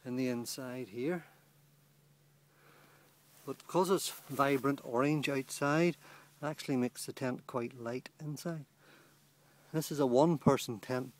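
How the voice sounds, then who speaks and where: Man, plain mid-pitched voice, matter-of-fact gear reviewer outdoors, handheld camera mic. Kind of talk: casual product walkthrough. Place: outdoors on a lawn beside a small tent. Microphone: handheld camera held by the speaker.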